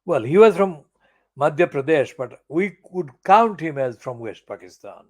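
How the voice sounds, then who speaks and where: An older man delivering an angry, profane political monologue as a channel editor, stressing words slowly and deliberately. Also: a little high, expressive, a little mellow. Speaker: channel editor giving commentary.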